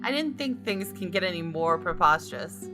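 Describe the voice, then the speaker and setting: A woman narrates a visual novel in the first person as she plays it. Her voice is slightly low and expressive, with guitar game music clearly behind it. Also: moderate pace. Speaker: gamer reading a visual novel aloud.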